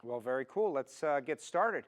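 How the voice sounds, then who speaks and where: A man's voice: medium pitch, lively intonation, normal speed. A man hosting an automotive TV show, presenting and interviewing in an upbeat, enthusiastic way. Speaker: TV show host.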